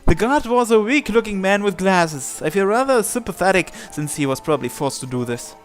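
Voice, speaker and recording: A man's voice: sits high, animated, a little fast, faint music behind. A man reading visual novel narration and dialogue aloud.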